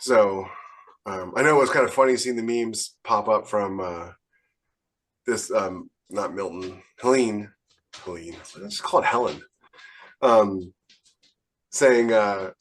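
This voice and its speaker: A man's voice: lowish voice, takes it slowly, pauses often. A middle-aged American man telling casual, rambling stories on a podcast, often searching for details. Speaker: podcast panelist and military veteran. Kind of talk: casual anecdotal storytelling.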